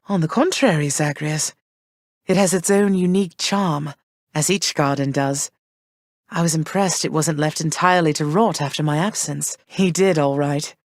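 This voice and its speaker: A gentle, regal queen and caring mother in a studio game. Her voice sits a little low, and she speaks warmly and with measured calm. The recording is dry and close. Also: no echo. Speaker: game character.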